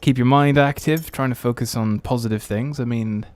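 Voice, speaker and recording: A man's voice: mid-range voice, steady tone, moderate pace, a little mellow, dry recording. A man from Austria hosting an entertainment podcast in a casual, rambling and hesitant chat style. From born and partly raised in Austria. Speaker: podcast host.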